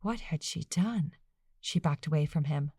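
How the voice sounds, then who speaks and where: A woman's voice: low voice, measured pace, darkish tone, slightly rough, dry recording, clean studio sound. A woman reading a fiction audiobook as a scripted narration.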